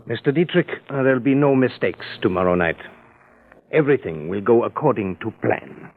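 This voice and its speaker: An actor playing a smug, self-satisfied arsonist in an old radio crime drama, speaking in a smooth, coaxing way. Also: male voice, medium pitch, expressive, normal speed. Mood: assured. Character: scheming middle-aged male arsonist, smug perfectionist. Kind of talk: 1940s radio acting. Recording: tinny old radio broadcast.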